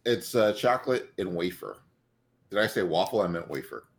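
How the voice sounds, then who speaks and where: A man co-hosting a podcast, chatting in a relaxed way with many fillers and easy laughs. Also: sits low, moderate pace, creaky, a little hiss or hum. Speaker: podcast co-host. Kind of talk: casual chat.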